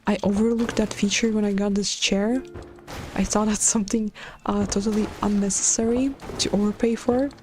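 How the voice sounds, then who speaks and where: A woman's voice: mid-range voice, fairly even intonation, normal speed, darkish tone. A woman from Latvia with a Latvian accent, chatting casually on a live stream while she builds in a game, hesitant and full of filler sounds and restarts.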